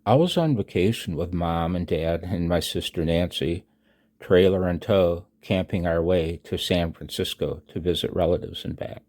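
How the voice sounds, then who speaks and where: An American man in his sixties reading his own announcement aloud, emphatically and with dramatic pauses, as a radio hobby vlogger. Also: low voice, a little mellow. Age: 60s.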